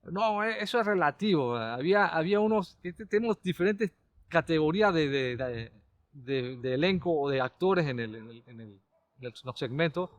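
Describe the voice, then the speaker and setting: A middle-aged Panamanian man, a caricaturist and comedy writer, recalling his TV work in a relaxed, rambling way with many restarts. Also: a little high, normal speed, no echo. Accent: Panamanian Spanish. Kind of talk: relaxed conversational storytelling.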